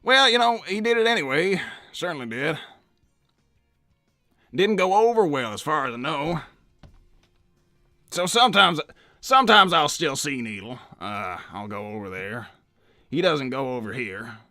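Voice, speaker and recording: A man's voice: on the high side, expressive, unhurried, pauses often, bright, forceful tone, no room sound, faint music behind, full character voice. A man voicing an old hedgehog uncle in a cartoon interview, hesitant and evasive, stammering and repeating himself as he rambles. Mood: wistful, evasive. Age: older. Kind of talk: improvised in-character interview.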